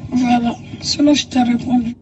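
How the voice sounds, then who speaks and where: A woman with a cold rambles through a voice message, explaining herself in a fairly high, dark and mellow voice. She sounds set back from the phone, with a light hiss over the recording. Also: fairly even intonation, moderate pace. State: has a cold. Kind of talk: WhatsApp voice message. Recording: distant phone voice note with hiss.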